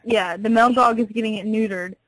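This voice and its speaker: A woman's voice: fairly high pitch, fairly even intonation, fairly quick, a bit bright, dry recording. A woman working at a pet store, explaining things patiently and a little hesitantly on a customer service phone call. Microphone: telephone.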